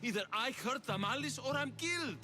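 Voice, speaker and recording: Shifty, high-pitched older man. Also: expressive, a little fast. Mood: desperate, remorseful. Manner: evasive, defensive. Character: defensive, cornered older man, shifty and desperate. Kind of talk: video game cutscene acting. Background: background music.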